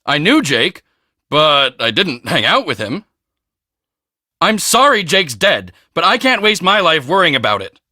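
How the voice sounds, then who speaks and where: A man voicing a cocky, boastful teenage high school football star in a video game, with a swaggering, emphatic, self-important delivery. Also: sing-song, measured pace, bright, forceful tone, no room sound, studio quality, exaggerated voice. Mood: guarded, dismissive. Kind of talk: video game character dialogue.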